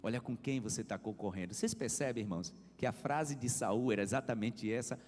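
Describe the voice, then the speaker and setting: A man's voice: mid-range voice, brisk, a little mellow, soft background music. A man preaching a sermon as pastor, driving his points home with swelling emphasis and repeated refrains. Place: church hall. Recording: reverberant church hall.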